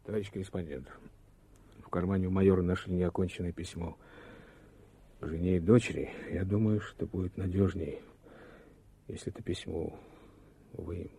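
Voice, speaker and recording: A man's voice: low-pitched, fairly even intonation, moderate pace, creaky, long pauses, mellow, slightly rough, heavily acted. An actor playing a solemn, grieving male soldier and comrade in a radio war drama. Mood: subdued, respectful. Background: music.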